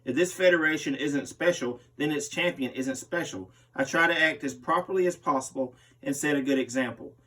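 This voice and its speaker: A man's voice: fairly high pitch, a little fast, hardly any pauses, fairly bright tone. Distant-mic man reading an interview aloud. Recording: distant microphone.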